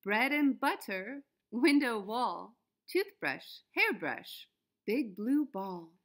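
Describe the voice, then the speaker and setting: A woman reads a picture book aloud for small children. Her voice sits fairly high and swings animatedly in pitch. She takes her time, placing words with deliberate expression. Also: big pitch swings. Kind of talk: storybook read-aloud.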